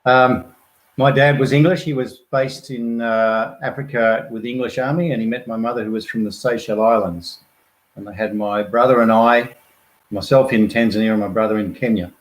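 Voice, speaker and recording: A man's voice: medium pitch, reverberant, low-quality recording. A laid-back middle-aged man, a volunteer guest, talking casually with dry, understated humour. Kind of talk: casual live-stream chat.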